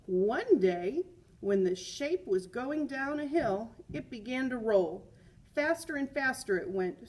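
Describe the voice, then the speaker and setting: Teacher lady reading a kids book out loud, takes her time, bit husky, expressive w little side comments. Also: a little high, rough recording. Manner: expressive, with emphatic repeated words. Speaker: teacher reading aloud to students. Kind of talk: picture book read-aloud with chatty asides.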